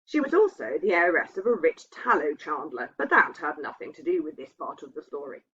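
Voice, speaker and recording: A woman's voice: deep voice, big pitch swings, brisk, fairly bright tone, a little hiss or hum. A woman reading a literary ghost story aloud as a narrator. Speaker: reader. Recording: dull, muffled lo-fi sound.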